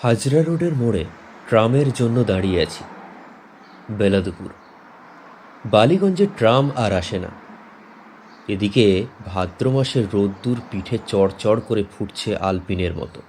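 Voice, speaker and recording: A man presenting and narrating a scripted horror story in a measured, suspenseful, dramatic manner. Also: fairly low pitch, animated, darkish tone, a little hiss or hum. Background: faint background music.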